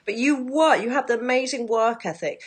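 A woman's voice: on the high side, lively intonation, a little fast, noisy background. A woman interviewing a guest in a casual chat, asking questions with playful enthusiasm.

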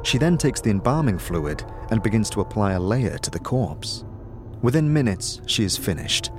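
Low-voiced male storyteller over music. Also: sing-song, normal speed. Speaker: narrator. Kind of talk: scripted fantasy audio drama narration.

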